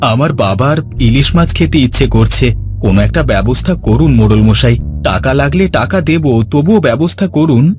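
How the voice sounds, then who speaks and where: A man's voice: medium pitch, expressive, normal speed, a little mellow, close to an everyday voice. A man playing an earnest, dutiful village farmer son in cartoon dialogue, with music behind. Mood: pleading.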